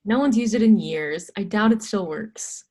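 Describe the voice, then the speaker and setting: Woman acting an ageing astronomer mentor, reluctant, terse, evasive, weary, middling pitch, stage drama reading. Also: normal speed, warm tone, natural voice. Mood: guarded. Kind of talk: readers theatre stage reading.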